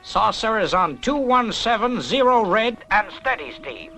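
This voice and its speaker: Sharp, forceful space patrol commander. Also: male voice, high voice, big pitch swings, unhurried, heavily acted. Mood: urgent, official. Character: stern, urgent male space patrol commander. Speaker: voice actor. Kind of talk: 1960s children's sci-fi puppet series acting.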